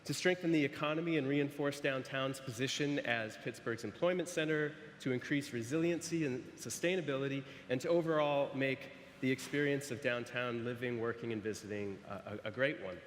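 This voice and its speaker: A man in the middle of his pitch range speaks to an audience in a large echoey hall with a long reverb tail. He talks haltingly, with repeated words and "uh" fillers. Faint noise sits under the voice. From San Francisco. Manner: hesitant, frequent restarts and fillers. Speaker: architect presenting to an audience. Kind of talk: conference presentation.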